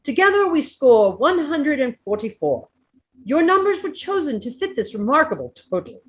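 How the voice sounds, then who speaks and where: Woman acting out jovial old hobbit gentleman, highish swooping voice, oratorical, deliberate, storybook speechmaker. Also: sing-song, poor audio. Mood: ceremonious, measured. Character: elderly male hobbit giving a jovial birthday speech. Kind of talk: storybook reading.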